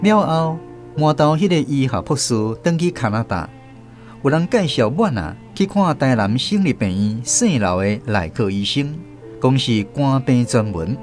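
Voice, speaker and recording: A man's voice: mid-range voice, normal speed, faint music behind. Man narrating a radio drama. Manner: steady storytelling. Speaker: host and narrator. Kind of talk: scripted narration.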